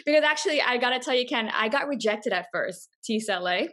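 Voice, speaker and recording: A woman's voice: on the high side, lively intonation, quick pace, sharp tone. A woman, talking casually and passionately as an interview guest, full of "like" fillers. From Boston, US. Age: 30s. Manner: chatty, passionate, many "like" fillers. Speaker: guest, coffee company founder.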